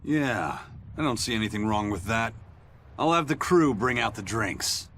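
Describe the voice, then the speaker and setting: Deep-voiced, stoic warrior. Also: male voice, lively intonation, normal speed, a bit bright, a little hiss or hum. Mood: earnest. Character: stoic, principled, philosophical male warrior.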